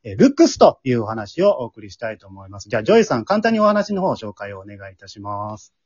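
A man with a Kansai accent hosting a casual chatty show among friends, teasing and quick to laugh, recorded remotely from home. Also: mid-range voice, big pitch swings, a little mellow, husky, muffled recording. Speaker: leader of an amateur drama group, hosting.

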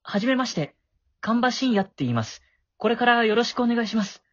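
A man's voice: extremely high pitch, lively intonation, a little fast, dry recording, lo-fi sound. A man acting a shy, awkward middle-school boy with a crush in an amateur voice drama, flustered and prone to stammering. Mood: flustered, eager.

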